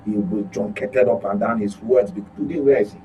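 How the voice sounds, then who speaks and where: A man's voice: fairly low pitch, brisk, mellow, slight background noise, poor audio. A Nigerian man speaking as a guest commentator in a political discussion, emphatic and repeating phrases for stress.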